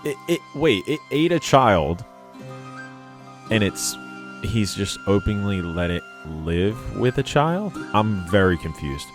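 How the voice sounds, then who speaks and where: A man's voice: on the low side, very animated, takes it slowly, over music. A man giving casual, off-the-cuff reaction commentary, informal and exclamatory with some swearing. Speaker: reaction video host. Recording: show soundtrack playing behind.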